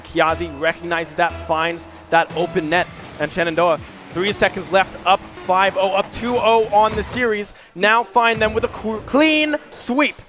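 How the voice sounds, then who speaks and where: A young man casting an esports match, hoarse from losing his voice, talking quickly and leaning on "I mean" and "right?". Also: high-pitched. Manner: fast, hedging with "I mean" and "right?". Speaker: esports caster. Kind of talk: play-by-play commentary. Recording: faint game music behind.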